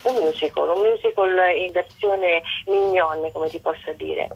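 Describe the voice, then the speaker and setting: A woman's voice: on the low side, measured pace, creaky, slightly rough. Woman on a muffled, narrow phone line. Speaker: stage author and actress, interview guest. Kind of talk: radio interview, promoting own show. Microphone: phone call.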